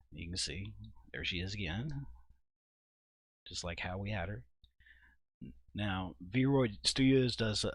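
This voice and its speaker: A man giving an informal software walkthrough at his computer, thinking aloud in a halting, casual way. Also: fairly low pitch, no room sound. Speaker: video creator and host. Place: indoors at a computer.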